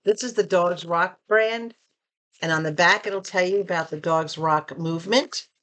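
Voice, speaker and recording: A woman vlogger chatting casually through an unboxing, reading labels aloud and asking viewers questions, a bit hoarse from losing her voice. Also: on the low side, moderate pace, fairly bright tone. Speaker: vlogger reviewing purchases.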